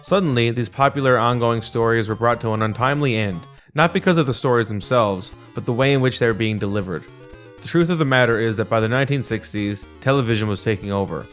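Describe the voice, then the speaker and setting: A man's voice: medium pitch, fast, faint music behind. A man narrating a scripted video essay.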